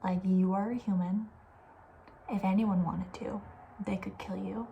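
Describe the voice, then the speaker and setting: Soft-spoken woman in casual ASMR chat. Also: medium pitch, steady tone, unhurried, creaky, dull audio, slight background noise. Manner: rambling, conversational, playfully opinionated. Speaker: ASMR video host.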